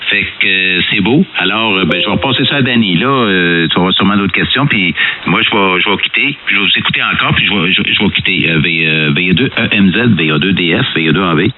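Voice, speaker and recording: Man, Québécois French accent, deep pitch, repetitive hesitant chat, narrow hissy radio. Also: brisk. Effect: two-way radio transmission. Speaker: amateur radio operator. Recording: narrow-band amateur radio with hiss.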